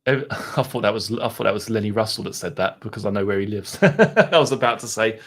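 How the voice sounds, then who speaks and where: A man's voice: lowish voice, lively intonation, brisk, fairly bright tone. A man with an Essex accent hosting a live stream, rambling casually and chatting with his viewers. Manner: mild swearing, laid-back banter.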